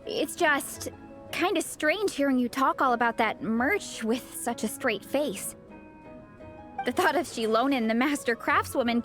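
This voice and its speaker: A woman voicing a cheerful, excitable young fan-club captain in a video game, bubbly and friendly. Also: sits high, full character voice. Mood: amused.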